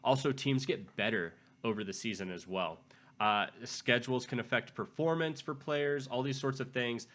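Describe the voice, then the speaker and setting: Raspy-voiced man hosting a sports channel. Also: medium pitch, moderate pace, a bit bright. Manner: conversational, frequent restarts and repeated words. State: raspy from recent illness. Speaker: fantasy football channel host. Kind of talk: casual advice talk to camera.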